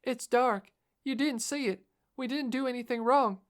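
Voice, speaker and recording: A man voicing a frightened teenage girl who pleads and tries to calm the others. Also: normal speed. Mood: scared pleading. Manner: high, pleading and reassuring.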